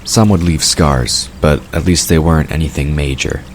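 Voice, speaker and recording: A man reading scripted narration for a fantasy audio drama in a measured storytelling manner. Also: a bass voice, a little hiss or hum.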